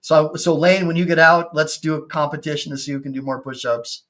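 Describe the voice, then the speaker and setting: Excitable man in his fifties, stammering. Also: fairly high pitch, fairly quick. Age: 50s. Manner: excitable, stammering restarts, frequent laughs. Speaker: personal injury attorney and show host. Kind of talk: casual video-call chat.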